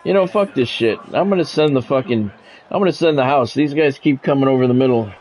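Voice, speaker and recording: A man's voice: medium pitch, fast, darkish tone. A man streaming a football video game, mixing sports play-by-play with casual, salty chat to viewers and swearing freely.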